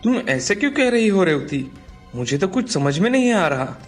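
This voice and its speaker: A man acts out a gentle, trusting village farmer in a storybook tale. His voice sits fairly high and is earnest and expressive, with music playing behind it. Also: moderate pace. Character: trusting, good-natured farmer father, gentle adult man. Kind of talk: dramatized Hindi folk tale dialogue.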